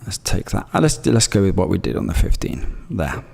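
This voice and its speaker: Low-voiced Greek man talking trades live. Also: huge pitch swings, a little fast, vocal fry, a little mellow, big-room sound, slight background noise. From Greece. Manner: rambling, reads chat messages aloud, many fillers and restarts. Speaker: day trader streaming live. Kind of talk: live-stream trading commentary.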